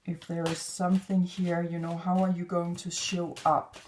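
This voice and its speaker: A woman from Denmark with a Danish accent, giving an unscripted spiritual card reading to viewers in a measured, halting way. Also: on the low side, little pitch movement, darkish tone, slight background noise. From northwestern Denmark. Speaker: tarot and oracle card reader.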